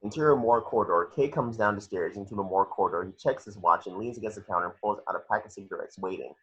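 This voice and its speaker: A man's voice: fairly low pitch, rapid-fire, fairly bright tone, slightly rough. A man narrating scripted action descriptions in an amateur table read, on a lo-fi home recording.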